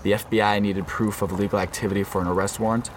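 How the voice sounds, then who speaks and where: A man acts as an uneasy undercover agent in a radio play. His voice sits low and moves with a measured, grave care. A faint layer of noise runs behind him. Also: level tone, lightly acted. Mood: grave, reflective. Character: undercover male FBI agent narrating a compound infiltration, uneasy. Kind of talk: scripted radio play narration.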